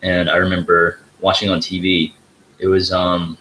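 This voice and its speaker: Young man with a very deep voice. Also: completely flat delivery, moderate pace, bright, forceful tone, a little hiss or hum, rough recording. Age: young adult. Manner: casual, laid-back, says "like" a lot. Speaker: podcast guest. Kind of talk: casual chat.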